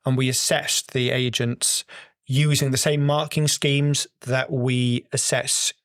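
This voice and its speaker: A man speaks as an interviewed researcher, explaining technical work in conversation. His pitch is mid-range and he rephrases as he goes. The recording is close and very dry, with no room sound. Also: level tone, slow pace, no room sound. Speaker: project researcher being interviewed.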